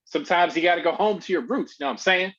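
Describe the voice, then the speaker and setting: A man's voice: sits high, racing, a bit bright, highs cut off, a little hiss or hum. A man, a regular on a comedy podcast and an improv performer, talking in a laid-back, folksy way. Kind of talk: casual comedy podcast chat.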